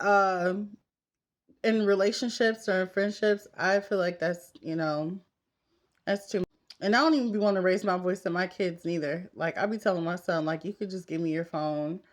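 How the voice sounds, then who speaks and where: A woman in her thirties chatting casually and candidly to her viewers, as in a get-ready-with-me vlog. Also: on the low side. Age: 30s. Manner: candid, conversational, talking straight to women viewers. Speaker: vlogger. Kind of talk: casual confessional chat while getting ready.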